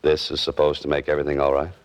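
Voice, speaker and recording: Very deep-voiced wry American adventurer. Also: male voice, completely flat delivery, very fast, no room sound. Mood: cautious, dry. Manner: wry, laconic, hesitant asides. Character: wry, easygoing male writer-adventurer narrating his own case. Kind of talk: 1940s radio detective drama acting. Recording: dull old radio recording with music.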